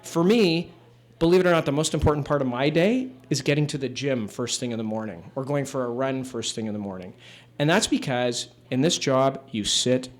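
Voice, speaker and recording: A man in his fifties from Canada, a judge telling stories to a room of people in a relaxed, wry, conversational way with fillers. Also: medium pitch, a little fast. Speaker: judge giving a talk. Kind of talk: relaxed storytelling talk to a live audience. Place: room with an audience.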